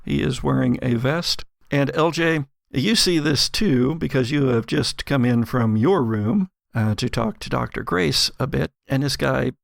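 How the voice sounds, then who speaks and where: A man working as game master, narrating a tabletop horror game with suspenseful pauses and vivid description. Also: mid-range voice, gravelly, dry recording, clean studio sound. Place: home studio.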